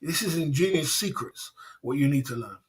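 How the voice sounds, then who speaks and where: Middle-aged man, slightly high voice, brags and repeats himself on a livestream. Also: animated, moderate pace. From England. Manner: repetitive, boastful, acts out dialogues. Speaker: livestream host. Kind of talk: casual livestream talk.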